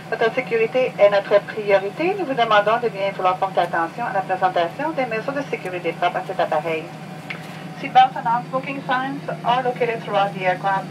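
A woman giving a scripted airline safety announcement in a measured, formal way, heard over the cabin speakers of an airplane. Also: medium pitch. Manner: measured, formal, even delivery. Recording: distant cabin speaker with engine hum.

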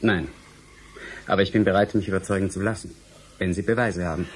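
An actor playing an earnest, cautious young male scientist in a German radio drama, speaking in formal, measured sentences. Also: low voice, steady tone, breathy edge. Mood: polite, reserved. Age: 20s.

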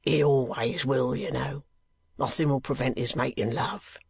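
A woman doing a gruff, drawling old keeper. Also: a bass voice, steady tone, unhurried, darkish tone, dry recording, full character voice. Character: gruff working-class male asylum keeper, sulky.

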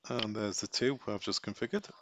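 A man giving a casual step-by-step software tutorial, thinking aloud as he works. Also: fairly low pitch, a little fast, background noise.

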